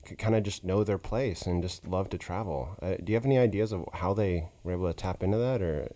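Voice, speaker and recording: A man's voice: sits low, fast talker, mellow. A man hosting a podcast interview, asking loose, rambling questions with fillers like "kinda" and "like". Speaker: podcast host. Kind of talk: conversational interview.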